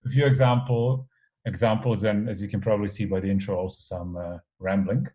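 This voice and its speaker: A man's voice: fairly low pitch, steady tone, a little fast, dark tone. A man from Serbia in his thirties giving a guest lecture online in a casual, rambling way with many fillers.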